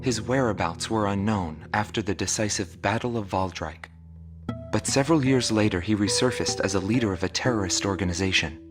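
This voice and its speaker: An actor playing a sober investigative reporter, delivering serious, deliberate documentary narration in a game. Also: male voice, fairly low pitch, over music, close to an everyday voice. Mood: grave.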